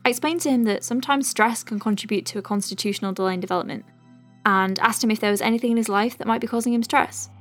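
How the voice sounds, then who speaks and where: A woman playing a caring, conscientious doctor in an audio drama, dictating clinical notes with gentle, chatty asides. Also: a little high, quick pace, faint music behind, close to an everyday voice. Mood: chatty, professional. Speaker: lead character, physician.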